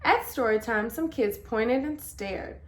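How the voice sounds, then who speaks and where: A woman reading a children's picture book aloud as storyteller, with expressive, deliberate emphasis. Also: a little high.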